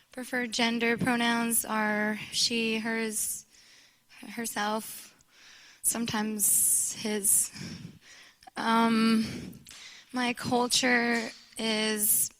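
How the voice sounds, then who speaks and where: A woman who grew up bilingual in English and Spanish, introducing herself to a group in a halting, thinking-aloud way. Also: on the high side, fairly bright tone, rough recording. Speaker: panel participant introducing herself.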